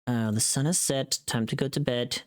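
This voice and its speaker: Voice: mid-range voice, steady tone, moderate pace. A streamer chatting casually on a livestream, thinking aloud and critiquing their own voice takes. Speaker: streamer recording voice lines.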